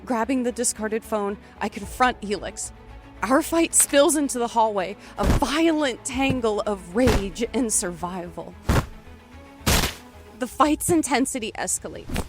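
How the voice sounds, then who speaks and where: Haunted survivor narrator, acted by a woman, deliberate, solemn and intense, music underneath. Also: on the high side, expressive. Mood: urgent, then desperate. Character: haunted female survivor of a killer's attack, narrating. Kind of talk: dramatic scripted audio drama narration. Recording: music behind.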